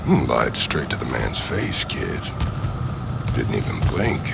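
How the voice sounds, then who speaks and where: Game creature: huge, bitter, sarcastic brute, gravelly, unhurried, swinging widely in pitch. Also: male voice, sing-song, over music, full character voice. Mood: low, brooding. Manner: gruff, sarcastic, profane. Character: big cantankerous non-human brute, bitter and distrustful of humans. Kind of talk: video game cinematic acting.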